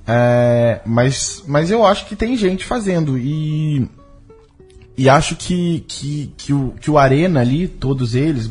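A Brazilian man being interviewed as a film director, speaking casually and reflectively, often halting to search for his words. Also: medium pitch, darkish tone, breathy edge.

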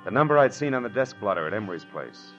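A tough private detective from a 1940s radio serial. His low voice is clipped and wry, full of hard-boiled sarcasm. Music runs behind the dull vintage recording. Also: very animated, quick pace. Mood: wry narration. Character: tough, wisecracking male private detective narrating his case.

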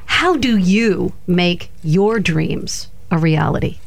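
A woman's voice: on the low side, expressive, takes it slowly, creaky, no echo. A woman hosting an interview program, asking questions in an encouraging, curious way. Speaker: interview show host.

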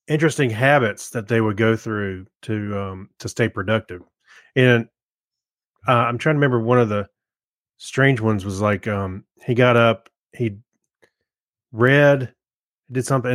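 A man's voice: mid-range voice, normal speed, dry recording, studio quality. A man co-hosting a podcast, chatting casually and telling things in a hesitant, rambling way. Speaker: podcast co-host. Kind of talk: casual chat.

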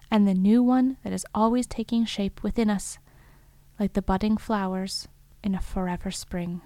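A woman acting a thoughtful field reporter in an audio fiction monologue, speaking in a measured, lyrical, wondering way. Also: medium pitch, a little mellow, no echo. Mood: gently hopeful. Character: thoughtful, wondering female narrator filing a field report on strange creatures. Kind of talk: scripted reflective first-person audio fiction monologue.

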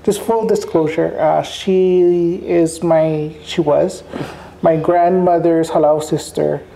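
A woman from Hawaii, raised speaking Hawaiian, talks in a relaxed, reflective interview manner. Her voice is gravelly and warm, with a little fry. Faint background noise sits under the recording. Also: low voice, slow, poor audio. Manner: relaxed, thoughtful with frequent fillers. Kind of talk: conversational interview.

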